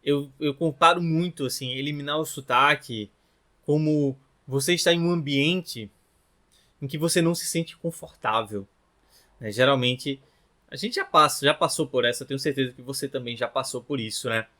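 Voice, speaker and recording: A man in his thirties from northeastern Brazil with a Brazilian Rio Grande do Norte accent, teaching a relaxed lesson to camera and often tagging his phrases with questions. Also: mid-range voice, moderate pace. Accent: Brazilian Portuguese, from Rio Grande do Norte. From Natal, northeastern Brazil. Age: 30s. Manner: chatty, ends phrases with tag questions. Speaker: language teacher.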